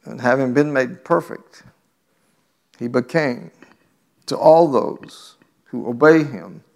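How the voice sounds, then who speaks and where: A man's voice: mid-range voice, vocal fry. A man preaching a sermon in a teasing, conversational way, reading scripture and talking directly to the congregation. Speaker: pastor.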